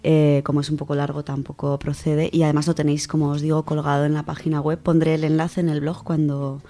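A woman's voice: low-pitched, little pitch movement, quick pace, dark tone. A woman with a Spanish accent from Spain, chatting casually as a contributor to a radio book segment. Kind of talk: casual chat.

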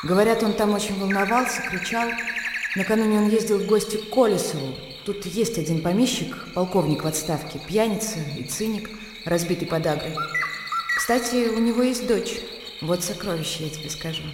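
An actress in a radio play playing a composed, worldly widow who speaks in a measured, persuasive way. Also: female voice, mid-range voice, background noise, close to an everyday voice. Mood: composed, confiding. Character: composed, worldly young widow, a landowner's self-assured sister. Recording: echoey room with music behind.

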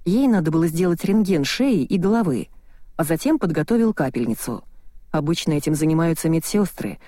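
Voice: medium pitch, very animated, brisk, mellow, no room sound, clean studio sound. A reader voicing a young English male doctor in an audiobook, grumbling and sarcastic. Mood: recounting. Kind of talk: audiobook dialogue reading.

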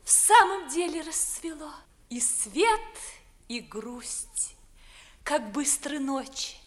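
Dreamy young lady of the house, extremely high voice, lilting swoops, classic radio play. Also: expressive, takes it slowly. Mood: wistful. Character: dreamy, lovestruck young noblewoman, the master's daughter. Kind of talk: 1950s Russian stage-style radio comedy in verse.

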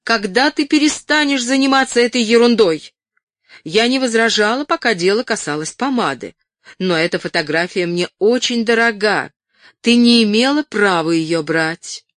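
A woman's voice: medium pitch, expressive, moderate pace, a bit bright, no echo, close to an everyday voice. A stern, weary actress from a novel, read by a woman narrator, holds back her irritation. Her delivery is cold and reproachful, recorded close and dry. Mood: exasperated.

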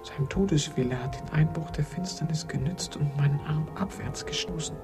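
A gravelly, fairly high-voiced man in torment, trapped in a crypt as an actor plays him. He speaks with halting, theatrical intensity and tenderness, in a radio drama with music behind him. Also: whispered, natural voice. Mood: hushed horror. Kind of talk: German radio drama acting.

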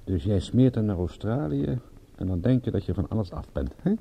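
A wry private detective in a radio play, with a mellow, lowish voice that moves freely in pitch. He is terse and dryly ironic, sometimes hesitant, over faint recording noise. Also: expressive, brisk, vocal fry. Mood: dry, probing. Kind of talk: radio drama acting in Dutch.

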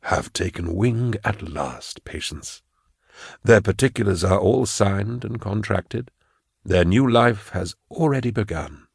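A man voicing a smooth, falsely kindly prefect in an audiobook, soft and whispery, with measured, condescending phrasing. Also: lowish voice, lively intonation, dry recording, clean studio sound, heavily acted. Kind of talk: audiobook character acting.

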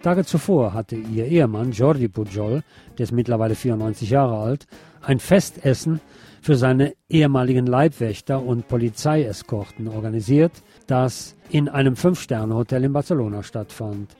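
Mellow-voiced man reading scripted news. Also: medium pitch, moderate pace, faint music behind. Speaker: radio news presenter.

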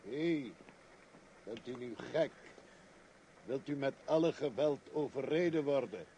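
Secretive, reckless driver, played by a man, mellow medium pitch, lively swings, old radio drama. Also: unhurried, a little hiss or hum, lightly acted, rough recording. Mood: gruff, careless. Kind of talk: radio play acting. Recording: old radio recording, engine drone behind.

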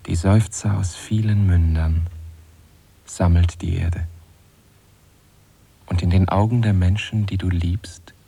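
A man reciting verse in a grave, hushed, deliberate manner. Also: deep voice, steady tone, creaky, husky. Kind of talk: solemn poetry recitation.

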